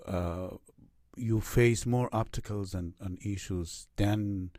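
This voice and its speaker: Casting: middle-aged Afghan man, Farsi-accented English, very low dark voice, halting and searching for words, dry close recording. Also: no echo. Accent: Afghan Persian. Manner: halting, many fillers and restarts. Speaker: interview guest, writer and academic. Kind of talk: reflective interview answers.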